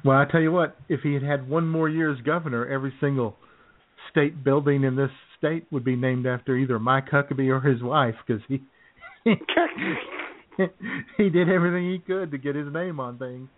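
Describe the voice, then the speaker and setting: A man co-hosting a political talk radio show, chatty and wry, with a dry laugh never far off. His voice sits at an ordinary middle pitch. It comes through thin and tinny, like a phone line. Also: steady tone, normal speed.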